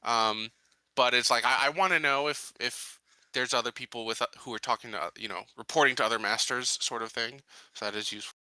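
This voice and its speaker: A man's voice: mid-range voice, a little fast, pushed and bright, clean tone, dry recording. A man talking casually as a player in a tabletop roleplaying game, thinking aloud in a tentative way.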